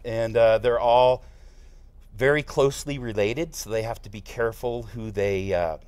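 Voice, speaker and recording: A man, an actor and interview guest, casually explaining and often pausing mid-sentence. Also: medium pitch, darkish tone, dry recording. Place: TV studio.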